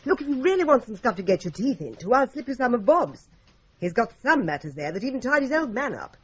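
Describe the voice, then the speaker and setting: An actress playing a sharp, sardonic young female secretary in a radio drama. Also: on the high side, big pitch swings. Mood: helpful. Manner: sardonic, brisk. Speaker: office secretary. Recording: dull lo-fi radio play recording.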